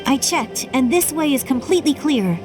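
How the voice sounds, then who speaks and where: A woman's voice: sits high, expressive, moderate pace. A woman voicing a plucky, eager young girl sneaking past guards in a video game. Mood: focused, determined. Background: game music.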